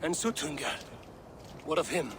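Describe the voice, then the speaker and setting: A man's voice: on the high side, very animated, measured pace, background noise. An actor playing a proud, wrathful Norse god king in a video game, speaking in a grave, commanding, theatrical manner. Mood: bitter, accusing. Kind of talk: dramatic video game cutscene acting.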